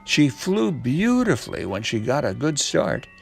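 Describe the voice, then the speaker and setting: A man narrating a children's storybook from a script, with deliberate, expressive phrasing. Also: a little high, creaky, husky, soft background music.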